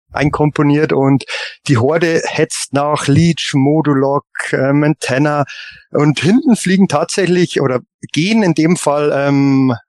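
Higher-voiced man, halting podcast chat. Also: darkish tone, no echo. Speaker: podcast panelist. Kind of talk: casual podcast chat and anecdote telling.

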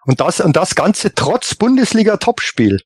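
A man co-hosting a podcast in casual chat, often halting and repeating words. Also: sits high, big pitch swings, slightly rough, no echo. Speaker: podcast co-host.

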